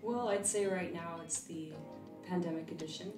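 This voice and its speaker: A young woman in her twenties, a vet student from California whose first language was Russian, chatting casually in an interview with relaxed fillers and restarts. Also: on the low side, moderate pace, reverberant, recorded from a distance, over music. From California, USA.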